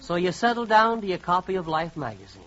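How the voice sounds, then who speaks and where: A man's voice: high-pitched, sing-song, fast, a bit bright. A man narrating a 1940s radio comedy in a wry, conversational, second-person style. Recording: muffled, faintly noisy 1940s radio recording.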